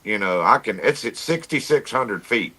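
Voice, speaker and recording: A man's voice: lowish voice, lively intonation, normal speed, pushed and bright. A plainspoken man with a folksy manner, telling stories casually in a livestream chat and repeating himself as he goes. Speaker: amateur radio operator, livestream guest.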